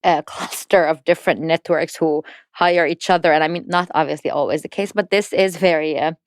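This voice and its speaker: Female podcast guest, fluent and informative, resonant low voice. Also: fairly even intonation, fairly quick, no room sound, clean studio sound. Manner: fluent and explanatory, light laughs between words. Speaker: podcast guest, entrepreneurship network representative. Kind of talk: casual podcast conversation.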